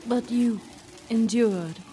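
Female radio drama listener played by an actress: highish pitch, drawn-out pacing, dramatic, questioning, urgent. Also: warm tone, a little hiss or hum. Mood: commanding.

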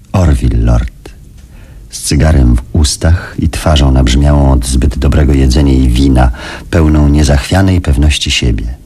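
An actor playing a calculating, ambitious businessman who tells his story in a measured, confiding way in a radio drama. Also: a bass voice, warm tone, breathy edge, full character voice. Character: Frank, calculating ambitious businessman recounting events in first person. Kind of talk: Polish radio drama narration.

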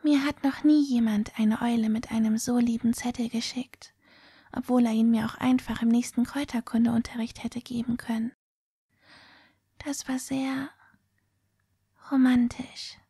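A woman playing a dreamy, candid teenage schoolgirl in an audio drama, speaking gently with a soft, whispery voice. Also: on the high side, fairly even intonation, a little slow, slightly rough, dry recording, studio quality. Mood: tender. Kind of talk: amateur audio drama acting.

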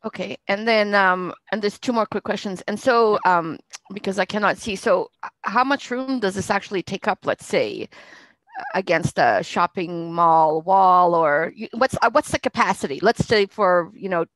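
A woman's voice: mid-range voice, animated, normal speed, a bit bright, no room sound, low-quality recording. A woman in her fifties from Lithuania, asking questions as a committee member in an online meeting. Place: indoor room at home, on a video call. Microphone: headset boom mic.

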